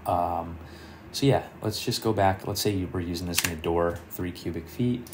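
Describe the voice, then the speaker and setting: A man presenting an informal screen-share software tutorial, explaining things and thinking aloud as he works. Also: deep voice, moderate pace, noisy background. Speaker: car audio tutorial presenter.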